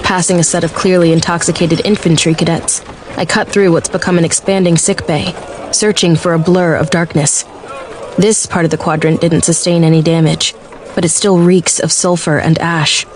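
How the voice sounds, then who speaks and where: An actress playing a determined, anxious young military cadet, narrating a fantasy audiobook drama in the first person. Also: female voice, lowish voice, level tone, moderate pace, darkish tone, slight background noise, natural voice. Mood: grim resolve. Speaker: lead narrator. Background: music.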